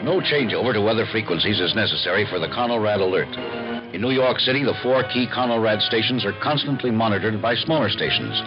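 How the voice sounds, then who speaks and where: An American man playing an authoritative explainer, formal and measured, in mid-century radio documentary style. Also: fairly low pitch, animated, lightly acted. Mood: formal, reassuring. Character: authoritative male explainer of civil defense procedures. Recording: muffled old radio recording, loud music.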